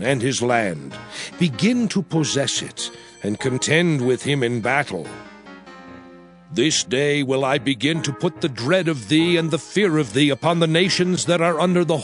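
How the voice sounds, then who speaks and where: An actor playing a solemn prophet leader in a dramatized scripture reading, speaking in an emphatic, declamatory, deliberate way. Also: male voice, fairly high pitch. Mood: solemn, resolute. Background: music bed.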